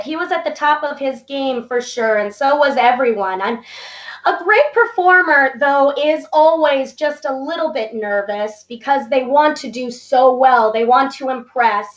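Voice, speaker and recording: A woman guest in a casual interview, a little hoarse, talking in an upbeat, eager and gracious way. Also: high voice, a little slow, reverberant. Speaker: interview guest, wrestling entertainer and actor.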